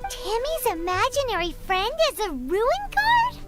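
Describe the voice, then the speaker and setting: A tiny, childish fairy sidekick in an anime game. The voice is piercingly high and swoops about, with an excitable, whiny edge. Loud music plays behind it. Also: female voice, measured pace, exaggerated voice. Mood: surprised, fretful. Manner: refers to self in third person, whiny. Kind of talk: anime video game voice acting.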